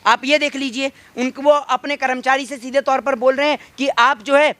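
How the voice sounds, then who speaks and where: Male interviewee, high-pitched and argumentative, repeats points for emphasis, dry close sound. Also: steady tone, moderate pace, sharp tone, no echo. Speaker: interviewee arguing political views. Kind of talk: heated impromptu political street debate.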